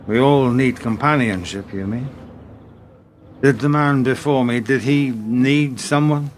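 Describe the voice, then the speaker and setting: A man playing a reserved, questioning British spy in a radio play, measured, polite and probing. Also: medium pitch, a little hiss or hum, close to an everyday voice.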